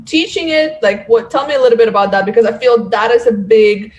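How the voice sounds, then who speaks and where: A woman's voice: on the high side, fast talker, darkish tone, muffled recording, slight background noise. A woman hosting a podcast, chatty and enthusiastic, leaning on fillers like "kind of" and "like". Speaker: podcast host. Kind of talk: casual interview conversation.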